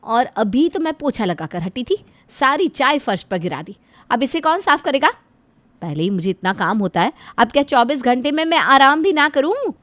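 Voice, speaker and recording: A sharp-tongued, scornful young daughter-in-law, played by a woman narrator. Her fairly high voice swings a lot as she snaps back, with a dry, close sound. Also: moderate pace, no room sound. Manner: snappy, scornful, lively.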